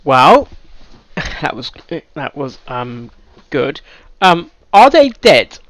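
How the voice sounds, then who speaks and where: A man giving casual reaction commentary, halting and thinking aloud, with sudden louder bursts of emphasis. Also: fairly high pitch, sing-song, no room sound. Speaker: reaction video host.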